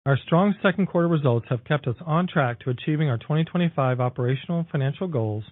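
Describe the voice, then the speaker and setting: A man's voice: a little high, animated, fast talker, no echo. Male executive, formal scripted delivery on an earnings call, dry phone-line sound. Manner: formal, reading prepared text. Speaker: company executive.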